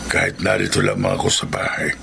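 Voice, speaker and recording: A low, heavily creaky Filipino male voice as a haunted rich widower in a radio drama. He is brooding and measured, with the weight of command, over a noisy background. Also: fairly even intonation. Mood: weary, reserved. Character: troubled wealthy widower, master of a secluded house.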